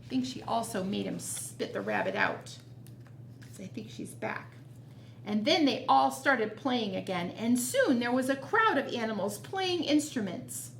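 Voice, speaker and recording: A woman's voice: a little high, big pitch swings, unhurried, creaky, fairly bright tone. A woman reading a picture book aloud to children as a storytime reader, expressively and with chatty asides. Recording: roomy echo, noticeable background noise.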